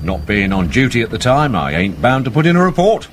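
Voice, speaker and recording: A man's voice: mid-range voice, big pitch swings, a little fast, natural voice. A man playing a deferential, chatty policeman in a radio drama, plain-spoken with a working-class English accent. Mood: obliging. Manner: respectful, plain-spoken, colloquial. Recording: street and car noise behind.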